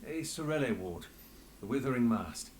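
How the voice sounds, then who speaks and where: Man playing a measured, weary game inspector. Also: mid-range voice, expressive, a little mellow, close to an everyday voice. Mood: sombre. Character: weary, dry-witted male inspector in a fantasy city. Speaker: game character. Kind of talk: scripted fantasy game dialogue. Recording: light background ambience and noise.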